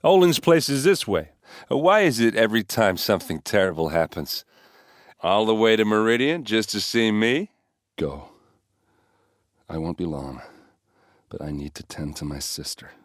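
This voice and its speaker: Man acting as brash warrior captain, deep voice, informal and blunt, halting when emotional, game dialogue, dry sound. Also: expressive, dry recording, natural voice. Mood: businesslike. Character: brash, hard-drinking warrior captain, gruff but soft-hearted. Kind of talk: video game character dialogue.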